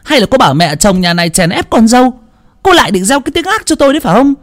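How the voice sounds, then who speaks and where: A man voicing a domineering older mother-in-law who scolds in shrill, rapid tirades. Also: very animated. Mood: shrieking scold.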